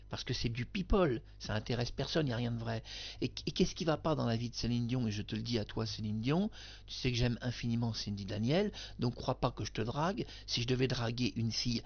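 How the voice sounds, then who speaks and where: Male video commentator, gravelly, circles back and insists, muffled home recording. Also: mid-range voice. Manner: emphatic, addresses the singer directly, repeats himself. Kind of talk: rambling spoken commentary to camera.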